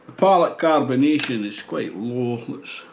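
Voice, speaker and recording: A man in his sixties casually reviewing a beer at home, thinking aloud in a relaxed, musing way with pauses. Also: mid-range voice, vocal fry, a little hiss or hum. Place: small home bar room, indoors.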